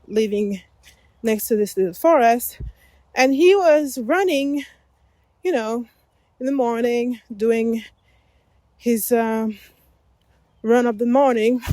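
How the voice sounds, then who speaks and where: A woman's voice: a little high, a little mellow, dry recording. A woman whose first language is French, hosting an unedited solo podcast while walking outdoors along a forest path, rambling and correcting herself as she goes. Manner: rambling, self-correcting, laughs often. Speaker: podcast host.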